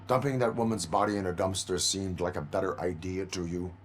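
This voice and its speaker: A man playing a stoic cyborg police officer in a video game, speaking in a clipped, formal, deadpan way. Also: sits low, brisk, a little hiss or hum, lightly acted. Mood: stern, impassive. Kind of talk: video game cutscene acting.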